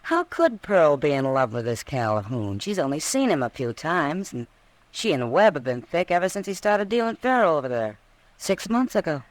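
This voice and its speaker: An American actress playing a frank, worldly saloon woman of the Old West in a radio drama, familiar and expressive. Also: sits low, moderate pace, no echo. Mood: surprised, frank. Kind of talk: 1950s radio drama acting.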